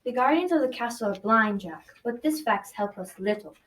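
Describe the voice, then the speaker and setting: A woman's voice: on the high side, expressive, normal speed, a bit bright, far from the mic. A girl of about twelve or thirteen playing a wise, loyal talking pony in a school radio drama, reading earnestly and solemnly. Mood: steadfast. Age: child, around 12-13.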